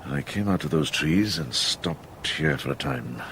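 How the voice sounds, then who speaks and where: An older man playing a shrewd, calm and authoritative medieval monk in a radio drama, speaking in a measured, deliberate way. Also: very deep voice, full character voice. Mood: grave, intent. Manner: measured, deliberate, thinking aloud. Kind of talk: British radio drama acting. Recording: clear background ambience noise.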